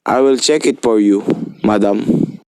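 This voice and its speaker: A man playing a polite, unruffled telephone operator in an amateur radio play, formal and measured. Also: lowish voice, mellow, clear, resonant tone, dry recording, poor audio. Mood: calm, formal.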